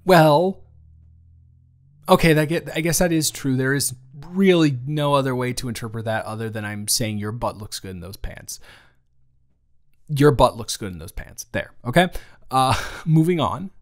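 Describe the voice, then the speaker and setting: A man acts out a flustered young male best friend in a romantic audio roleplay. His mid-pitched voice is conversational and hesitant, with a teasing, caring manner. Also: moderate pace, close to an everyday voice. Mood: flustered, admiring.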